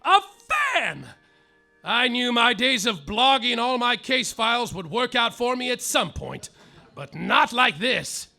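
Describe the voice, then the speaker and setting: A man narrates like a hardboiled private eye in a live stage comedy, his voice high, bright and gravelly. The delivery is deadpan but breaks into yelled outbursts. Faint music sits under it. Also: unhurried. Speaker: lead performer in a live comedy play, as the detective.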